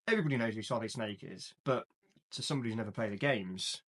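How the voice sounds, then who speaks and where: A man co-hosting a casual film podcast, talking in quick bursts with restarts and laughs. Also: lowish voice, animated, a bit bright. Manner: chatty, frequent restarts and laughs. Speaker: podcast co-host. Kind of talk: casual chat.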